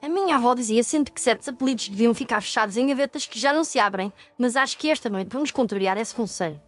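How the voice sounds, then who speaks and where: A woman's voice: fairly high pitch, quick pace, faint music behind, lightly acted. A woman playing a determined, curious young ghost-story podcaster, earnest and articulate, with a European Portuguese accent. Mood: earnest, polite. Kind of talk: audio ghost story acting.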